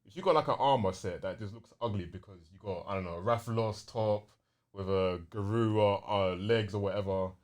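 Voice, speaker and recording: A man's voice: on the low side, a little fast, darkish tone. A young man with a London accent chatting casually and enthusiastically on a gaming podcast, using plenty of slang.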